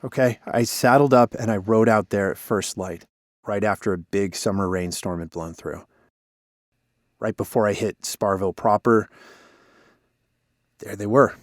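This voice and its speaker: An actor playing a guilt-ridden, defensive former park warden in an audio drama, telling things in a weary, halting way. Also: male voice, on the low side, dry recording, studio quality, close to an everyday voice. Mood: somber, haunted. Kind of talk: cinematic audio drama acting, naturalistic.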